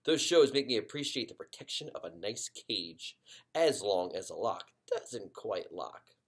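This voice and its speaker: A man, a teacher, reading a children's chapter book aloud in an expressive storytelling way. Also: lowish voice, a little slow, slightly rough.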